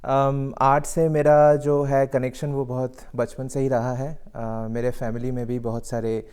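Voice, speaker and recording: A man's voice: mid-range voice, flat delivery, a little slow, darkish tone, clear, resonant tone. A man raised in Delhi, answering interview questions in a hesitant way, with many fillers and English phrases mixed in. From Delhi, India. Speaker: guest artist.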